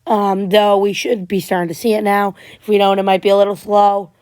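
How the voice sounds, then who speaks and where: A woman giving a casual tropical weather update, her voice going hoarse as she keeps clearing her throat and restarting her sentences. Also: mid-range voice, level tone, a little mellow, no echo, clean studio sound. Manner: halting, many restarts and fillers. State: losing voice, frequent throat clearing. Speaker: amateur weather forecaster.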